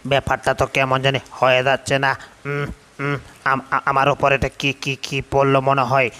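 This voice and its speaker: Man playing a flustered male cartoon character, sharp bright voice, monotone pitch, stammering. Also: fairly quick, clean tone, lightly acted. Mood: bewildered, panicked. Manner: stammering, muttering, exclamatory. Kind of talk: Bengali comedy cartoon dub.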